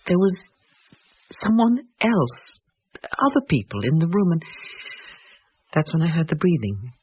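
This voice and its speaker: An actress playing a frightened, sharp-tongued rationalist woman in a radio horror drama, emphatic and defensive. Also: on the low side, sing-song, a little slow, breathy edge, exaggerated voice. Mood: shaken. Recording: muffled, noisy old radio recording.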